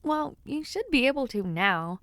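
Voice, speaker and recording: A woman's voice: sits high, big pitch swings, moderate pace, a bit bright, no echo. A woman voicing a young noblewoman in an audio roleplay, playful and teasing, sometimes flustered while giving compliments. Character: teasing young noblewoman before her debut. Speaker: voice actor.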